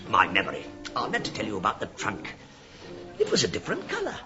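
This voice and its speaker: A man's voice: lowish voice, wildly swooping pitch, fast talker, muffled recording, music in the background. A man playing a sly police inspector in a radio drama, rambling with mock absent-mindedness and teasing insinuation. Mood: feigned innocence, needling.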